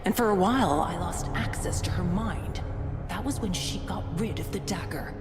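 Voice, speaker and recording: A woman plays a callous cultist villainess in a fantasy game, her voice on the low side. She is composed, scornful and zealous. Long echoing reverb surrounds her, with music underneath. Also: moderate pace, natural voice. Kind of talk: dramatic video game acting. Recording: echoey hall reverb, music behind.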